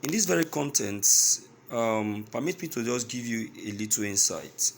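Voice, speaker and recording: A man with a Nigerian accent giving news commentary to his listeners, speaking in a halting, emphatic way and repeating phrases. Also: mid-range voice, expressive, creaky, slight background noise.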